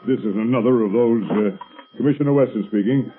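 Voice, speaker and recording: An actor playing a brusque, exasperated police commissioner in 1930s radio drama style. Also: male voice, medium pitch, quick pace, natural voice. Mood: irritated. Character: gruff, impatient male police commissioner. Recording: muffled old 1930s radio recording.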